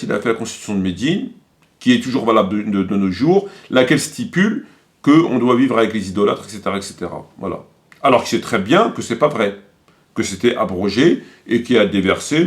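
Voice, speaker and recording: A man speaking off the cuff in a didactic, polemical way, often repeating words as he searches for the next one. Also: mid-range voice. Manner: polemical, didactic, step-by-step explaining. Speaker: commentator. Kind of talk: unscripted religious-political commentary.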